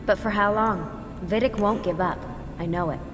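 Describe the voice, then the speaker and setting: A woman's voice: medium pitch, normal speed, music in the background, lightly acted. A woman playing an anxious, wary young woman in hiding, in a video game, speaking with worry. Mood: worried. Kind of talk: video game dramatic acting. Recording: distant, echoey reverb with noise.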